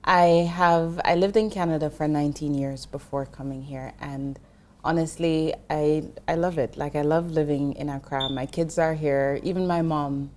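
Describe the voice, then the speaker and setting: A woman's voice: sits low, steady tone, normal speed, few pauses, darkish tone, a little hiss or hum. A middle-aged woman from Jamaica, a guest in an interview, explaining finance earnestly with frequent restarts. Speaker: investment professional guest. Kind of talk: interview conversation.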